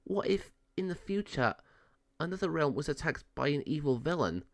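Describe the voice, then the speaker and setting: Young female storybook fairy, enthusiastic, girlish, high lilting voice male reader acting it. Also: big pitch swings, normal speed, no echo, clean studio sound. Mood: musing. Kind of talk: children's storybook read-aloud.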